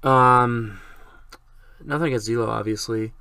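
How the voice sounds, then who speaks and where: A man's voice: medium pitch, steady tone, unhurried, vocal fry, sharp tone, smooth, no room sound. A young man co-hosting a sports talk show in a casual, easygoing, hesitant way. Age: young adult. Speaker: sports podcast co-host, student. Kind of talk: casual chat.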